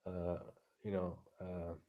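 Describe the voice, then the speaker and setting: A man with a deep voice ranting crudely and bluntly, keeping his voice down at home, halting with many fillers and restarts. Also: monotone, phone-quality sound. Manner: keeps his voice down, talking quietly; crude, blunt, heavy swearing. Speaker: vlogger. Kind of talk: casual profane rant. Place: home room with neighbors through the walls.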